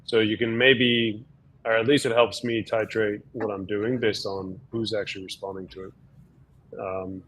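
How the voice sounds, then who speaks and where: A slightly hoarse man, a doctor, explaining things in an easygoing way with lots of fillers during a casual webinar chat. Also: lowish voice, level tone, unhurried, fairly bright tone, a little hiss or hum.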